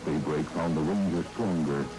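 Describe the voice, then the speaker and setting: A man's voice: very deep voice, normal speed, music in the background. A man narrating an old-time radio drama in a scripted, dramatic storytelling style, with noise and rain over the recording. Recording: noisy vintage radio, rain sounds.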